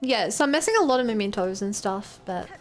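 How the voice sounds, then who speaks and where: A woman's voice: mid-range voice, animated, fairly quick. Chatty New Zealand woman streaming a game. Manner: chatty, thinking aloud and answering chat. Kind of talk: casual gameplay commentary.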